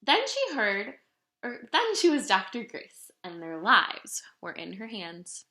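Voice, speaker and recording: An animated woman reading aloud, roomy sound. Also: mid-range voice, slow pace. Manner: animated, dramatic storytelling delivery. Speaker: storybook reader. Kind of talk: expressive read-aloud of a children's picture book. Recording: roomy, echoing room sound.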